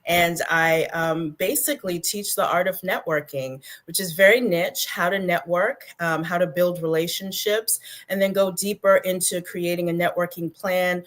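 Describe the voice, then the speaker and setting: A woman from Brooklyn tells her story as a livestream guest. Her voice sits a bit low for a woman, and she speaks in an upbeat, articulate way over a video call. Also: fairly even intonation, moderate pace, few pauses. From Brooklyn, New York. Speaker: guest, networking consultant. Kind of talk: livestream interview talk.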